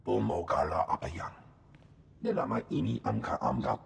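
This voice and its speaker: An actor voicing a gruff alien general in a video game, speaking an invented tongue in a gravelly rasp. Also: male voice, on the low side, lively intonation, normal speed, exaggerated voice. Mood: insistent. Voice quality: gravelly, rasping. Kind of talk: cinematic video game creature voice. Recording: long hall reverb.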